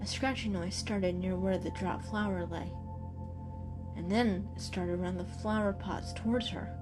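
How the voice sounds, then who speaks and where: A woman's voice: mid-range voice, fairly even intonation, measured pace, music in the background. A woman narrator giving a scripted, dramatic reading of a storybook.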